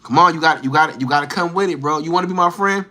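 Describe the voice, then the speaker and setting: A man's voice: on the high side, fast talker, bright, forceful tone. A man livestreaming, bantering with and teasing his chat in slangy street talk, firing challenging questions.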